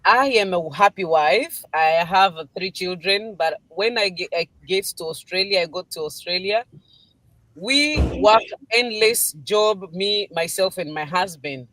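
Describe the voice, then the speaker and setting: A woman from Congo, a guest on a live stream, speaking in a steady, slightly formal way. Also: on the low side, a little slow, dry recording, rough recording. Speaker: guest business partner.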